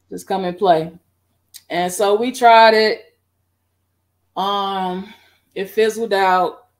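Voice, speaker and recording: A middle-aged woman on a video call, recounting her band history in a relaxed, rambling way with many fillers. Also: medium pitch, extremely slow. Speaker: guest band musician. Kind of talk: casual interview. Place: home room.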